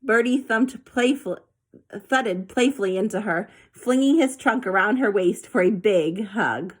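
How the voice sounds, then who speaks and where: Expressive woman reading a children's story. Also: mid-range voice, unhurried. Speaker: storybook reader. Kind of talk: children's story read aloud.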